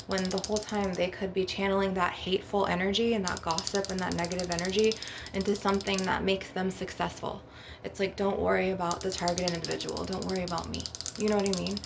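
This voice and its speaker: A woman's voice: medium pitch, creaky. A woman hosting an ASMR video, talking in a casual, rambling way with repeated check-ins to the listener and some swearing, like informal spiritual guidance. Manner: casual, repetitive tag questions, frequent swearing. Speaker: host of an ASMR channel. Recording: noisy, with clicking and tapping sounds. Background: crystal and glass tapping.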